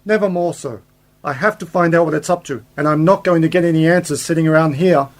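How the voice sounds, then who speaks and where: A man's voice: fairly high pitch, quick pace, natural voice. A man acting as a brilliant, confident space-travelling scientist uncle in a radio drama, explaining things in an assured, theatrical way. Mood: excited, assured. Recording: noticeable background noise.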